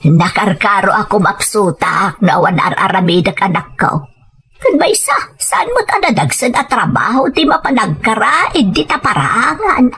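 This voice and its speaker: A woman playing a weary, ailing elderly mother with an Ilocano accent and a husky, plaintive voice in an audio drama. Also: medium pitch, very animated, moderate pace, fairly bright tone, full character voice. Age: older.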